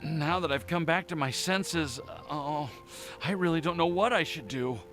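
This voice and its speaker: A man's voice: a little high, moderate pace, fairly bright tone, soft background music, close to an everyday voice. A man voicing an anxious, lovesick young male alchemist in an anime-style game, hesitant and stammering. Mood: anxious, apologetic.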